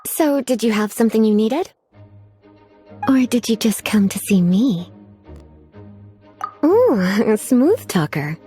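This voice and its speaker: Coy female librarian, anime game, highish voice, lively swooping intonation, languid, teasing, sweet menace. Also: slow, pauses often, soft background music. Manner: coy, drawling, sighing, teasing.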